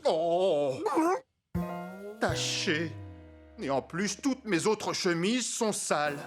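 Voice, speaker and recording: Fussy, animated cartoon doorman. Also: high voice, very slow, over loud music, full character voice. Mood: fussy, flustered. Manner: fretful, polite, proper. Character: fussy, conscientious uniformed doorman.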